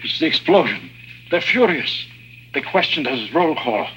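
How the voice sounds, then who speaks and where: An actor playing an earnest, self-sacrificing prisoner and secret informant in a 1950s adventure drama, grave and formal. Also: male voice, fairly high pitch, very animated, normal speed, background noise. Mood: anxious warning. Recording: vintage 1950s TV soundtrack, music behind.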